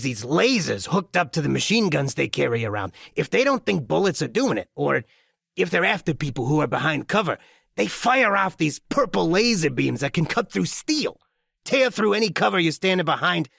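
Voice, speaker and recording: A man's voice: on the high side, very animated, moderate pace, creaky, a bit bright, breathy edge, dry recording, clean studio sound, pitched above the performer's own voice, exaggerated voice. A man voicing an injured skinhead gang thug, crude and grudging, with a strained, gasping delivery in an audiobook. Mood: grudging informing. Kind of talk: audiobook character acting.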